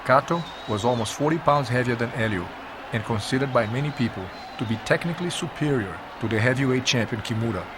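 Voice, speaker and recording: Male documentary narrator, mid-pitched voice, measured and emphatic scripted history telling, clearly noisy old video audio. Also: crowd noise.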